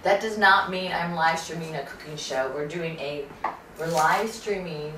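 A woman's voice: deep voice, normal speed, distant mic. A middle-aged woman chatting casually and laughing while she chops vegetables at home. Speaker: cooking show co-host. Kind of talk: casual live cooking chat. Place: home kitchen, indoors. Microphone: distant camera mic. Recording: roomy kitchen sound from afar with noise.

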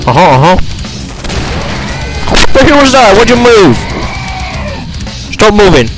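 A man's voice: sits high, sing-song, a little slow, poor audio. A man gaming and commentating in a hammy fake British accent. He swears a lot and rambles as he plays. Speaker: gamer. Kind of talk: gameplay commentary. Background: game music and gunfire.

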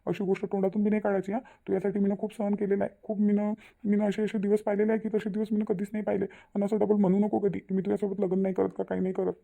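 A man's voice: high-pitched, completely flat delivery, rapid-fire, vocal fry, narrow, tinny audio. A man with a Varhadi Marathi accent voicing a devoted, insistent young fiance in a cartoon family drama. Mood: insistent, reassuring. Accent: Varhadi Marathi from Vidarbha. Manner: colloquial, emphatic repetition.

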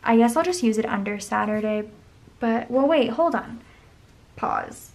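A young woman with a voice going hoarse chats casually while she decorates her planner at a desk at home. She rambles and counts under her breath as she works. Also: on the high side, animated, unhurried, darkish tone. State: losing her voice. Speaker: planner vlogger. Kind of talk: casual plan-with-me chat. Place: home desk, camera overhead.

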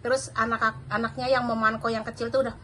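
A bright, high-pitched woman with a thick Javanese accent talks casually to the camera over breakfast. She chatters on easily and laughs often. The sound comes from a phone set on the counter in a large kitchen. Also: little pitch movement, quick pace, a little hiss or hum. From Java, Indonesia. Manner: chatty, laughs often, mimics others' voices. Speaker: domestic worker vlogger. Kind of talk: casual chat to camera while eating breakfast. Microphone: phone camera on the table.

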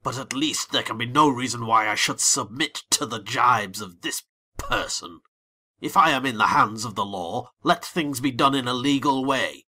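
A woman playing an indignant captured colonel in an audiobook, his voice dropped low and rough. He speaks formally and defiantly, with a hard, forceful edge. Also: moderate pace, full character voice. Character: indignant captured colonel, haughty male villain. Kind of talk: dramatic audiobook reading.

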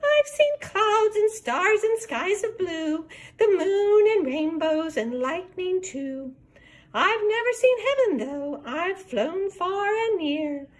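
Older lady doing a gentle storybook mama bird, really high and sing-song, earnest. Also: heavily acted. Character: sweet female bird, gentle and wondering.

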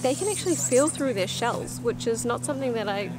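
A woman's voice: on the high side, animated, fairly quick, over music, poor audio. A woman in her thirties chatting casually as a travel vlogger, speaking to a camera held at arm's length. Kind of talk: casual vlog chat. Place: outdoors, by water and on trail. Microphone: handheld camera at arm's length.

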